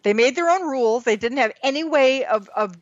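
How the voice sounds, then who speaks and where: A woman's voice: high voice, lively intonation, no room sound, muffled recording. A woman hosting a casual political show, reading news items and reacting with laughter and indignation. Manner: reads news items aloud, laughs often, quickly indignant. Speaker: podcast host.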